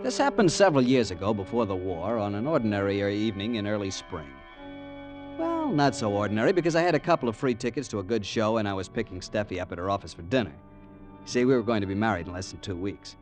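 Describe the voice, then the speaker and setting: An actor playing an impatient young American fiancé who narrates in a wry, conversational way, in vintage radio drama style. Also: male voice, on the low side, very animated, fast talker. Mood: wistful, impatient. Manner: wry, conversational first-person storytelling with teasing banter. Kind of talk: 1940s-50s radio drama acting. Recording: vintage radio recording, faint music behind.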